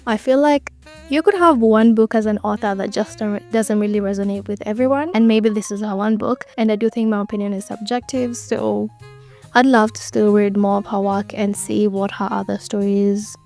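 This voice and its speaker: A woman's voice: mid-range voice, steady tone, normal speed, a little mellow, faint music behind. A young woman giving an earnest, enthusiastic book review in a casual, conversational way.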